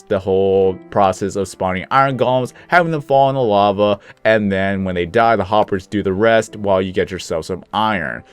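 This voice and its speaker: A young man with a deep, low-toned voice, slightly sick with some phlegm, giving rambling, easygoing commentary while he plays a game. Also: smooth. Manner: rambling, easygoing, chuckles at himself. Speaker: gaming channel host. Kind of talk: casual gameplay commentary.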